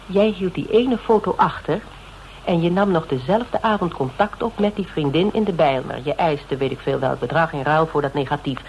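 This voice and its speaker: A woman's voice: deep voice, normal speed, a little mellow. An actress playing a shrewd, mocking female interrogator in a radio drama, with a needling, conversational manner. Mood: accusing, probing. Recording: noisy radio drama recording.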